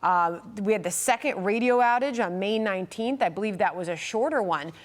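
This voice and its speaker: A woman's voice: medium pitch, fairly quick. A woman hosts a live news interview. Her voice sits in a middle register, and she talks conversationally as an anchor does, moving between reporting and questions.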